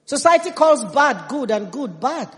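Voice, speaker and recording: A woman's voice: sits high, big pitch swings, measured pace, fairly bright tone. A Nigerian woman in her fifties preaching a sermon, emphatic and humorous, with a Nigerian accent. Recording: long reverb in a big hall.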